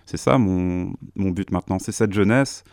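A man's voice: deep voice, normal speed, vocal fry, darkish tone, no echo, clean studio sound. A man, speaking as a youth worker on a panel, earnest and thoughtful, often restarting his sentences. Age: 30s. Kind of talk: radio panel discussion.

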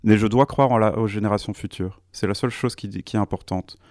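A man's voice: on the low side, fairly even intonation, a little fast, a little mellow, no echo, studio quality. A man, speaking as a youth worker on a panel, earnest and thoughtful, often restarting his sentences. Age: 30s. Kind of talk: radio panel discussion.

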